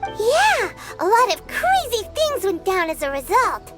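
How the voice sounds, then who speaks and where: A woman voicing a tiny, childlike, chatty fairy companion in an anime video game, with a squeaky cartoonish delivery. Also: wildly swooping pitch, measured pace, over loud music, exaggerated voice. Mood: earnest. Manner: refers to self in third person, squeaky cartoonish delivery.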